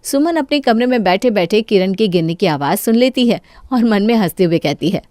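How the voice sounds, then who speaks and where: A woman's voice: medium pitch, animated, brisk, mellow, no room sound, clean studio sound. A woman giving scripted narration of a cartoon story.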